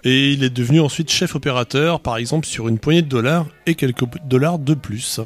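A man co-hosting a radio show in casual chat. He is talkative and knowledgeable, sharing film facts at length. His voice sits at a middle pitch for a man. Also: fairly quick. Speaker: radio co-host.

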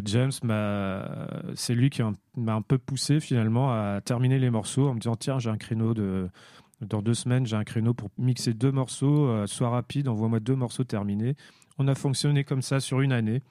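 A man with a mellow, warm tone speaks as a musician being interviewed. He is thoughtful and a little hesitant, often pausing to search for words. The recording is close and dry. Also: medium pitch, no room sound. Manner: thoughtful, hesitant with many fillers. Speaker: musician guest. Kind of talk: radio interview answers.

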